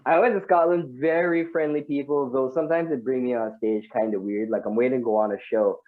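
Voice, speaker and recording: A man's voice: medium pitch, steady tone, quick pace, narrow, tinny audio. A man from Guyana doing a stand-up comedy set over a video call, chatty and full of asides to the audience.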